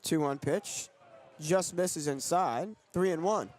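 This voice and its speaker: A man's voice: fairly high pitch, slow pace, darkish tone, a little hiss or hum. A young man doing sports broadcast commentary as a play-by-play announcer and reporter. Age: young adult.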